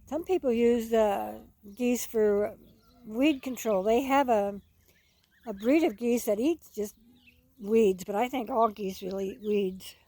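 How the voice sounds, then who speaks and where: Higher-pitched folksy woman, rambling outdoors. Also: slow, mellow, no room sound. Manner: folksy, rambling, repeats phrases. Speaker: farm owner filming own geese and ducks. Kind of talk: casual outdoor vlog narration. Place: outdoors on a rural farm, under a tree. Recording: outdoor noise with clicks and birds. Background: geese and bird calls, outdoor noise.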